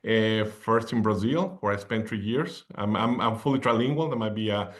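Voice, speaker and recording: Low-voiced man with a Mexican accent. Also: moderate pace. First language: Spanish. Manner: rambling, with many fillers and restarts. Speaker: podcast guest. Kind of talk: conversational interview.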